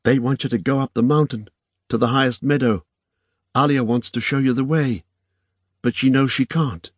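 Man voicing an earnest young woman, pitch lifted. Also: fairly even intonation, normal speed, dry recording, lightly acted.

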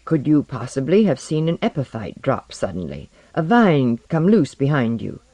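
A narrator voicing a polite, grim male scientist with a measured, formal, restrained way of speaking. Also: mid-range voice, very animated, dark tone, no echo. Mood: grimly dismissive.

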